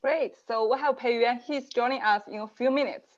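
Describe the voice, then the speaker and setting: Female program manager, fairly high voice, measured delivery with fillers, slightly dull video-call sound. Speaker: global programs manager hosting an info session. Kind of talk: online info session presentation.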